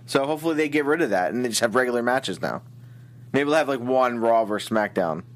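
A man with a mid-pitched, ordinary voice vents his frustration over wrestling storylines. He talks loosely, with plenty of "come on" and "who cares" asides. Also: expressive, fast talker. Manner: exasperated, ranting about booking. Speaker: wrestling recap co-host. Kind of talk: casual opinionated chat.

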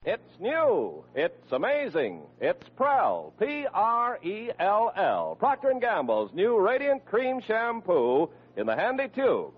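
A man's voice: high-pitched, huge pitch swings. A male radio announcer reading in an emphatic, theatrical 1940s sponsor style. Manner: emphatic, theatrical, drawn-out delivery. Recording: dull old radio broadcast. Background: music.

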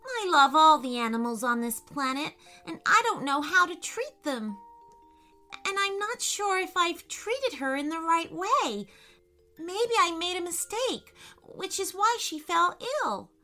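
Innocent, anxious young storybook girl, played by a woman: high, bright voice, earnest and pleading. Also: sing-song, measured pace, faint music behind, full character voice. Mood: sobbing worry. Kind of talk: children's storybook character voice.

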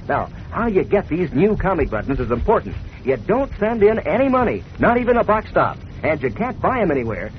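A man delivering a 1940s radio advertisement in a chummy, folksy, enthusiastic way. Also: high-pitched. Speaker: sponsor pitchman. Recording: muffled old radio recording, faint noise.

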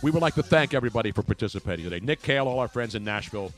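A man's voice: medium pitch, expressive, racing, soft background music. A man from Philadelphia hosting a talk radio show, joking around in mock outrage and rambling. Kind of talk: casual sports talk radio.

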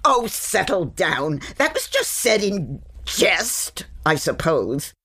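A bossy, witch-like woman of the forest in a fantasy audio drama. Her voice sits low and she takes her time over words. She is curt, secretive and impatient, giving orders as if patience is short. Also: sing-song, slow pace, a bit bright, breathy edge, heavily acted. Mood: exasperated. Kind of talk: audio fantasy comedy acting.

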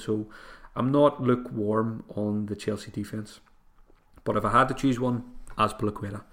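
A man with a voice growing hoarse talks through his picks on a solo podcast. He thinks out loud, often restarting phrases. His pitch sits in the ordinary middle range. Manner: thinking aloud, frequent restarts and fillers. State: voice getting hoarse. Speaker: podcast host. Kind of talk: solo podcast advice talk.

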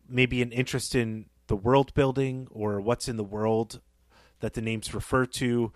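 A man co-hosting a podcast, discussing literature conversationally in thoughtful, measured and somewhat halting phrases. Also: lowish voice, no echo. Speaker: podcast host.

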